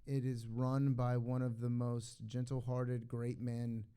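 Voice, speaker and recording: A man's voice: medium pitch, monotone, takes it slowly, warm tone, clear, resonant tone. A man chatting casually and jokily as a guest on stage at a fan convention, often chuckling. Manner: jokey, chuckling.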